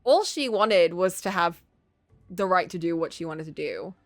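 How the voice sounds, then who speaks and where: Woman streamer chatting thru a story game, opinionated, thinks out loud, dry close sound w bit of music. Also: on the low side, animated, normal speed, dry recording. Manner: conversational, opinionated, thinking aloud. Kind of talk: casual live-stream commentary on a story game.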